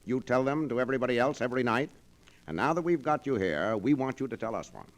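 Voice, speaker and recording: A man's voice: medium pitch, animated, fast. An American man hosting and announcing in the manner of 1940s radio.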